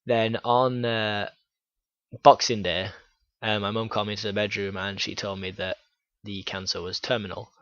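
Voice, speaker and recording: Young man from Yorkshire, low voice, halting and frank vlog delivery, dry dull lo-fi sound. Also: little pitch movement, a bit bright, no echo. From Yorkshire, England. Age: young adult. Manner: halting, filler-heavy, frank. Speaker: YouTuber. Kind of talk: personal vlog storytelling.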